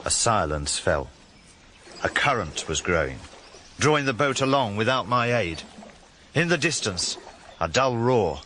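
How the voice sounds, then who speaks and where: A man's voice: fairly low pitch, sing-song, unhurried, pauses often, pushed and bright, a little hiss or hum, full character voice. An actor playing a vengeful working-class man with a London accent, intense and poetic, in a first-person radio drama. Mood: brooding. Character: brooding, vengeful working-class man narrating his own revenge. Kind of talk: first-person dramatic narration with heated dialogue.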